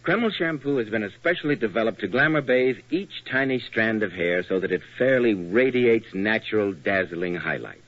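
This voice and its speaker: A male announcer with a persuasive, polished pitchman's delivery in the 1940s radio style. His husky voice rises and falls with lively movement. It comes through a dull, lo-fi old broadcast. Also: moderate pace, few pauses.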